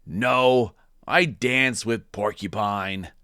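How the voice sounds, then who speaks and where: A man's voice: fairly low pitch, drawn-out words, sharp tone, smooth, dry recording, clean studio sound, pitched above the performer's own voice, full character voice. A man voicing an earnest gorilla friend in a storybook, frank and emphatic. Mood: sore, resigned.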